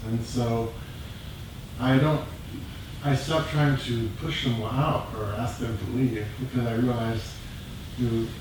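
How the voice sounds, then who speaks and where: A man speaking casually and reflectively as a group member, in a halting, plainspoken way, acting out his own self-talk. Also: medium pitch. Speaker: group member sharing in a dharma discussion. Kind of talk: reflective casual personal sharing. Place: meditation group meeting room. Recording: echoey room, distant mic, clear noise.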